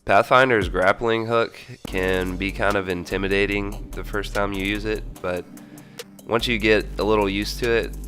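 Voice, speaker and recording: A young man hosting a gaming tips channel, explaining game mechanics step by step in a steady voice, still stuffy from a cold. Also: sits low. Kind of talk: scripted game tips voice-over. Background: background music.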